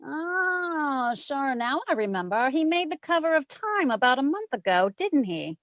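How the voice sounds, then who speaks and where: A woman's voice: high voice, sing-song, measured pace, a bit bright, no room sound, full character voice. A woman playing a breezy, flirtatious female private eye, trading quips in a 1940s-style radio drama. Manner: flip, teasing banter. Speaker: radio drama lead and narrator. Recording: slightly dull lo-fi recording.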